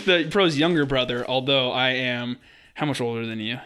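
A man's voice: medium pitch, moderate pace, sharp tone, smooth. A man in his twenties co-hosting a casual sports podcast, laid-back and joking, with an unpolished delivery full of fillers. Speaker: podcast co-host.

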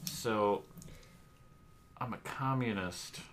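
A man's voice: lowish voice, extremely slow, fairly bright tone, slight background noise. A man co-hosting a podcast, joking and laughing often as he chats through a game. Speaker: podcast co-host. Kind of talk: casual chat while playing a game.

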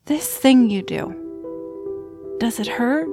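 Woman playing a timid, slow-spoken little girl. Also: a little high, over music. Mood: gentle, sad. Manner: soft, hesitant, polite. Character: sick little girl, gentle, trusting, timid. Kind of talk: audio drama acting.

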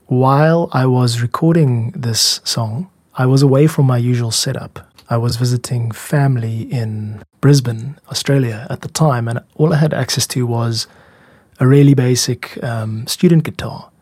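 A man hosting a podcast about making music, explaining things in a measured, candid, self-critical way. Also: medium pitch, darkish tone, husky, no echo, studio quality. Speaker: music podcast host and composer.

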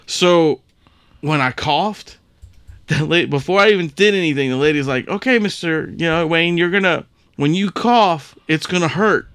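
A man's voice: a little high, normal speed, a bit bright, smooth, no room sound, clean studio sound. A man chatting casually as a podcast co-host, curious and often repeating his words. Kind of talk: casual chat.

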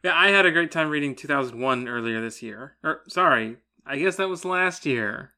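A man co-hosting a podcast in a relaxed book chat, chipping in with short, wry remarks. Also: on the high side, normal speed. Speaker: podcast co-host. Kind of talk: casual book discussion.

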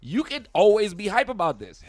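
A man's voice: high-pitched, sing-song, normal speed. A young man from Brooklyn with a New York accent, hosting a podcast in a laid-back, slangy, profane way that turns hyped. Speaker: podcast host. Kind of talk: casual podcast conversation.

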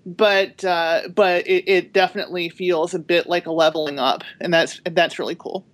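A woman author speaking as a guest on a podcast panel, reflective and halting, with many restarts. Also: lowish voice, fairly even intonation. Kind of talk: podcast interview.

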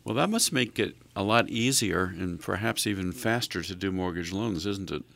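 A man's voice: low-pitched, normal speed, gravelly, a bit bright. A man hosting an interview, restating what the guest said and asking leading questions. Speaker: show host.

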